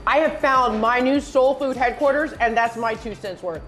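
A woman's voice: fairly high pitch, moderate pace, a bit bright, faint music behind. A woman in her thirties from the American South, hosting a food show and gushing over dishes. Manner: gushing, exclamatory. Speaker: TV host.

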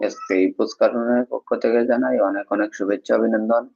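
Man, live stream host, monotone delivery, husky voice, repetitive friendly address, dull lo-fi audio. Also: mid-range voice, quick pace. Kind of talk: casual live stream chat urging viewers to engage.

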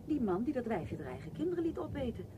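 A woman's voice: a little high, very animated, fast talker, muffled recording. An actress playing a dry, sceptical woman in radio play dialogue. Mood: sceptical, indignant. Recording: loud ambient noise behind.

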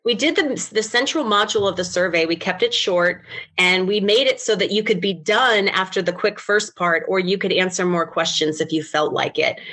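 A woman's voice: mid-range voice, normal speed, fairly bright tone. A middle-aged American woman speaking as a researcher in an interview, explaining things over a video call. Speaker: academic researcher, interview guest.

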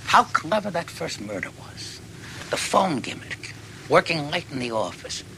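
A man acts out a rumpled, deferential detective who keeps pressing his suspect. His voice is keen-edged and swings widely in pitch as he muses in short, folksy phrases. It is dramatic dialogue with clear background noise. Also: sing-song, pushed and bright, exaggerated voice, poor audio. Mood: animated, musing. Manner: halting, musing, folksy delivery in short phrases. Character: dogged, deferential, rumpled male police detective closing in on a killer.

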